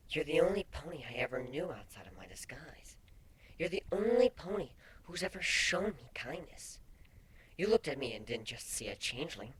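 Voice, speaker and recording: A man voicing a gentle, consoling female changeling in a fan fiction reading, speaking in a soft, breathy, soothing way. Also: on the high side, very animated, moderate pace, voice pushed up, heavily acted. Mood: earnest, tender.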